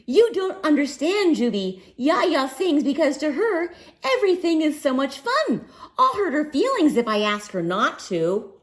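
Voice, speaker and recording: A woman's voice: high voice, big pitch swings, unhurried, pitched above the performer's own voice. A woman voicing an earnest, easily embarrassed young schoolgirl in a storybook, with a pleading manner. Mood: pleading, anxious.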